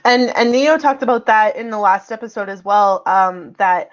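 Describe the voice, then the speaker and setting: A woman from Canada hosting an interview, asking questions attentively with soft fillers. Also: medium pitch, fairly even intonation, normal speed, dull audio. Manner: attentive, fills with um and mm-hmm. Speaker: interview host. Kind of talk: conversational interview.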